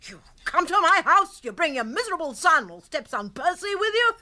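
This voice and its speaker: Irascible upper-class English hostess, middle-aged, high, very animated, blunt, blustering, radio drama. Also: female voice, moderate pace, full character voice. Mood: exasperated. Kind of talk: comic radio drama acting.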